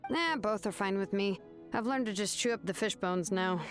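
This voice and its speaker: An actress playing a nonchalant, independent grown daughter in a video game, with music behind. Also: female voice, mid-range voice, moderate pace, clear, resonant tone, natural voice. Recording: game music under dialogue.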